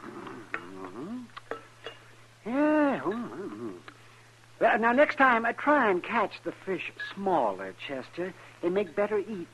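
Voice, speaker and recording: An actor playing a wry, hemming, grumbling older town doctor in an old-time radio western. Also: male voice, high-pitched, wildly swooping pitch, slow, fairly bright tone, a little hiss or hum, exaggerated voice, low-quality recording. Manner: hemming, chuckling, drily sarcastic.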